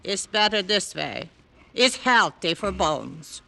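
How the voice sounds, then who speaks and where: A stolid, slow-witted henchwoman in an old radio detective play. She speaks slowly in foreign-accented broken English. The tone is forceful and hard-edged, with a polite but stubborn menace. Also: fairly low pitch, lively intonation, gravelly, exaggerated voice. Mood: politely menacing. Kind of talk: old-time radio acting.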